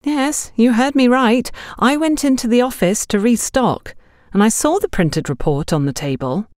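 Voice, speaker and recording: A woman's voice: on the high side, expressive, normal speed, darkish tone, dry recording, clean studio sound, voice pushed up, close to an everyday voice. A woman voicing a chatty, worldly young shop girl who confides gossip with dramatic flair. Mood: indignant, confiding.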